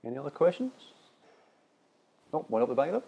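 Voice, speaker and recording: Man, event host, husky voice, lively pitch, short upbeat prompts. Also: brisk, muffled recording. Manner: brief and upbeat, inviting questions. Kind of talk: moderating a Q&A.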